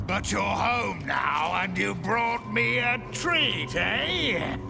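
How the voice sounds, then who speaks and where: A devoted, deranged ghoulish servant in a video game. The voice is high and swoops about wildly, with heavy gravel in it. It drawls in a gloating, childish, threatening way. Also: male voice, sing-song, very slow, over music, full character voice. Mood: gloating, menacing. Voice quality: creaky, gravelly. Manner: drawling, refers to self in third person, gloating.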